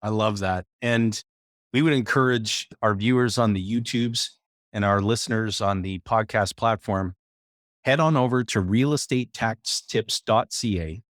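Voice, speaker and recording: A man's voice: lowish voice, unhurried, a bit bright, no room sound, clean studio sound. A man co-hosting a podcast, upbeat and encouraging as he plugs the guest's site. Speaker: podcast co-host. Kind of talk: casual chat and show promotion.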